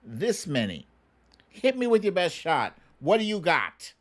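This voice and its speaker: A middle-aged American man hosting a livestream, chatting casually and answering viewer questions in an unhurried, musing way with pauses. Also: high voice, wildly swooping pitch. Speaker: livestream show host.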